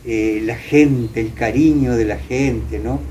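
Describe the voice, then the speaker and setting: An older man with an Argentine accent, reminiscing as an interview guest in a halting way, often repeating words for emphasis. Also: medium pitch, steady tone, mellow. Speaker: interview guest, theater and singing teacher. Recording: clear background noise, ambient room sound.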